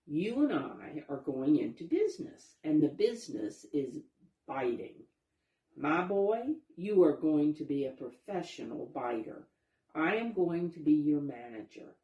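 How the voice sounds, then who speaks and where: A sly storybook fox voiced by a woman pitches schemes with pushy salesman patter. The delivery is slow and swoops up and down, in a roomy space. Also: big pitch swings, highs cut off. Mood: bossy, scheming. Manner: persuasive, salesman-like. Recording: roomy, echoey sound.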